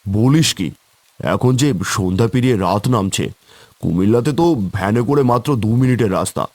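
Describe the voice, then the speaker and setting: A man's voice: on the low side, animated, brisk, darkish tone, no echo. A man voicing an anxious, scolding villager in a cartoon, fretful, folksy and full of questions. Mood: worried, chiding. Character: anxious, scolding village man among tea-stall regulars. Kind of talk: cartoon character acting.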